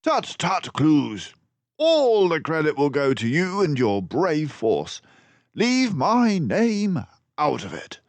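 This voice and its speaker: A man voicing a stern, calm mouse detective with a formal, declamatory manner in a storybook. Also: on the high side, slow pace, no echo, pitched above the performer's own voice, heavily acted. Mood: brisk, modest.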